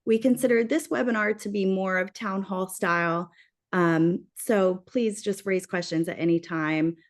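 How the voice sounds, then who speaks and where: Brief: woman co-host of a professional webinar, mid pitch, voice giving out, friendly with fillers. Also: fairly even intonation, unhurried. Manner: friendly, lightly scripted with fillers. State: losing her voice. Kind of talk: corporate webinar.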